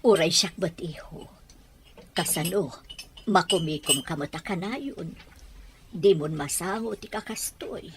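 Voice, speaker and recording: A devoted, fretful mother in a radio soap, with an Ilocano accent. Her speech is slowish and very animated, over faint noise. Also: on the low side. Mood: anxious, pleading. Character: worried, devoted mother of a grown son. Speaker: radio drama actress. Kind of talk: Ilocano radio drama acting.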